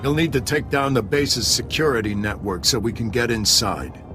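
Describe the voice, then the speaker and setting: A man's voice: medium pitch, normal speed, over music, natural voice. An actor voicing a battle-weary male army sergeant with clipped military delivery in a sci-fi video game. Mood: resolved.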